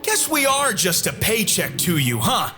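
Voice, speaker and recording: A man's voice: high voice, wildly swooping pitch, measured pace, full character voice. A man voicing the enraged, bitter ghost of a young frat man in an audio drama. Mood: angry, accusing. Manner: confrontational, bitter, forceful. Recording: dramatic music behind.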